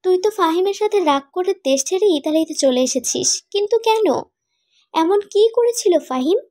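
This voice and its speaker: A woman playing a concerned, questioning young friend in an animated romantic drama. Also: very high-pitched, brisk, no room sound, clean studio sound. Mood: puzzled.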